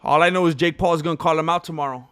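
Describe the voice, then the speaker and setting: A man in casual live-stream chat with a high, smooth voice and a dry, close sound. He jokes loosely and slips into snatches of song. His voice is wearing thin from too much talking. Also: steady tone, racing, fairly bright tone, no room sound. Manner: jokey, breaks into off-key singing and ad-jingle bits. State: losing his voice.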